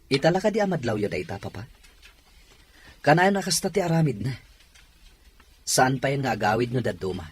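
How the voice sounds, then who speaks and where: A young man playing an unhappy married son in an audio drama, pained and weary, with a husky, breathy voice. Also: mid-range voice, very animated, fairly quick, pauses often. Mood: pained, resentful. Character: unhappy, resentful married son opening up to father.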